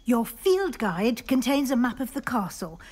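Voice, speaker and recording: An actress playing a composed female professor in a video game, guiding a new student in a measured, instructive way. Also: on the high side, very animated, a little mellow. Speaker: game character.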